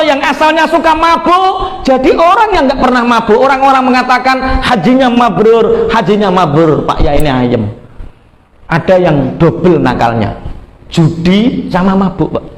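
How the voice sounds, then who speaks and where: Echoey Javanese-accented male preacher, higher voice. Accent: Javanese Indonesian. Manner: folksy, animated storytelling mixing Indonesian with Javanese. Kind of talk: storytelling sermon.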